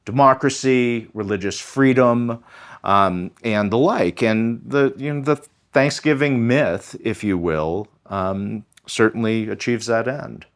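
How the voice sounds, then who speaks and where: A man's voice: mid-range voice, slow. A man, a history professor, explaining in a conversational, lecturing way with many fillers and restarts.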